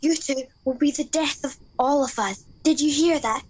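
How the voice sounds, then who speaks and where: A woman's voice: high voice, normal speed, no echo, natural voice. A woman playing a pompous, cowardly leader of soldiers in a radio comedy. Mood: pompous, hushed.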